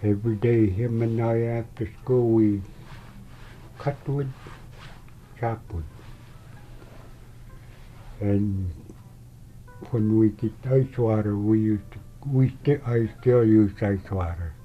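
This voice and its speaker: An older man from Wales, Alaska, recalling his childhood in a halting, plain-spoken way. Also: fairly low pitch, a little hiss or hum. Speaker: elder interviewee. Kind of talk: oral history recollection. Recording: slightly dull recording with faint music behind.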